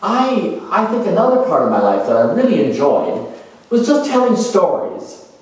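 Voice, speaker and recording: A man's voice: sits high, normal speed, voice pushed up. A man playing an exuberant, reckless, boastful free spirit who refuses to grow up, rambling brashly in a solo dramatized monologue. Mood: boastful, breezy. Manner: excitable, brash, rambling and unapologetic. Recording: echoey room, mic at a distance.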